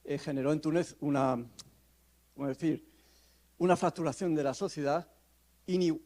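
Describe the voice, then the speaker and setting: A man presents a law lecture at a conference panel, his tone mellow and dark. He hesitates often, breaking off and restarting phrases as he thinks aloud. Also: fairly high pitch, normal speed. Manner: hesitant, many fillers and restarts. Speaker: law professor giving a panel talk. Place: conference hall.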